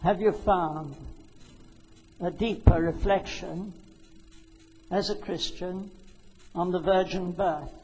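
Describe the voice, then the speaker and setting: A man's voice: high voice, fairly even intonation, takes it slowly, pauses often, dark tone, faint music behind. A man from the audience asking a long question with wandering, hesitant phrasing. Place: large hall. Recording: long reverb in a hall.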